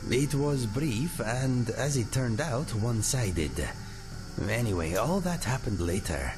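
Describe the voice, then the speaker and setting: Cat-man game companion, mid-pitched, animated intonation, measured, wry, wistful, music behind. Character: male cat-folk adventurer companion, wry, reflective, friendly. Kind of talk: fantasy video game character dialogue. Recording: music under the voice.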